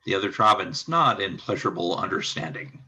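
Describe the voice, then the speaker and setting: A man's voice: low-pitched, animated, brisk, pushed and bright, husky. A man narrating a scripted radio drama, reading out the scene descriptions.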